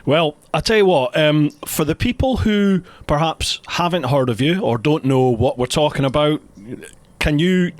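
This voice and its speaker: A man's voice: fairly high pitch, normal speed, dry recording. Easygoing male podcast host, dry sound. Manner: easygoing, short affirmations between questions. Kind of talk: casual interview chat.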